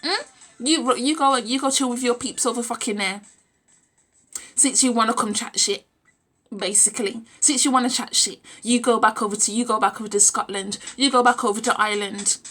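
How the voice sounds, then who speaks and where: A woman's voice: on the high side, steady tone, moderate pace, a bit bright. A British woman around thirty talking casually and bluntly to camera, emphatic and opinionated, recorded on a phone in a room at home. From United Kingdom. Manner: blunt, emphatic, repeats phrases for emphasis, swearing. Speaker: vlogger. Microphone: phone at arm's length.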